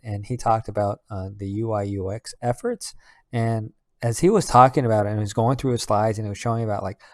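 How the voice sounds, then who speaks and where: A man talks through a casual personal update in a fairly low voice. He rambles conversationally, as if chatting to his followers. The sound is dry, with very little room to it. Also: a little mellow, no echo. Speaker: vlogger; Air Force master sergeant in knowledge management.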